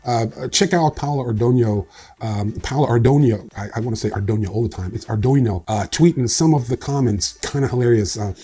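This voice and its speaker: A man's voice: mid-range voice, fairly quick, dark tone, husky. A man hosting a casual news roundup, reading headlines with frequent fillers and slipping in dry, sarcastic asides. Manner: wry, dry sarcasm, frequent ums and uhs. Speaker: crypto news host.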